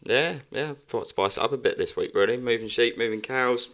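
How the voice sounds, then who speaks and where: A man's voice: mid-range voice, brisk, fairly bright tone. A man chatting casually with friends as a co-host on a podcast. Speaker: farmer.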